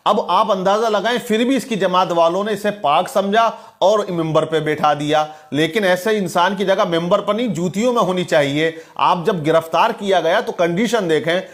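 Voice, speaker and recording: High-pitched man delivering preachy commentary. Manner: preachy, denunciatory, quoting religious sayings. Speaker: commentator and presenter of an online channel. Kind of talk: opinionated religious and news commentary.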